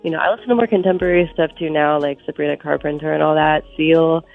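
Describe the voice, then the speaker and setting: A woman with a stuffy, slightly sick voice speaks softly and loosely through a thin, tinny phone line, with a gravelly edge to her speech. Faint music sits under the call. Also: deep voice, quick pace. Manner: soft-spoken, relaxed, many fillers. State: stuffy from a cold. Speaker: musician guest. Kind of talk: live radio phone interview.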